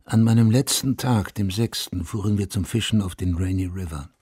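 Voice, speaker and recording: An actor playing a haunted, self-accusing Vietnam War veteran who narrates a radio drama in a sober, confessional, terse way. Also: male voice, on the low side, normal speed, warm tone, husky, dry recording. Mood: sober recollection. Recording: studio radio play with music underneath.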